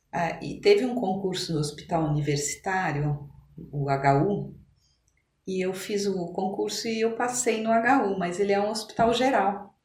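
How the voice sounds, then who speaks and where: Echoey, lower-pitched São woman, explaining hesitantly. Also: a little mellow. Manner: hesitant, explanatory, pausing to search for words. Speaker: interview guest, oncologist. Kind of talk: conversational interview, recounting and explaining. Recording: echoey room, clear background noise.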